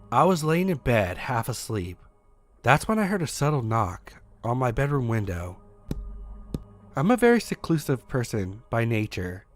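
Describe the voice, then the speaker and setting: Animated man telling a scary story. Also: medium pitch. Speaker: narrator. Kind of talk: scripted first-person horror story narration.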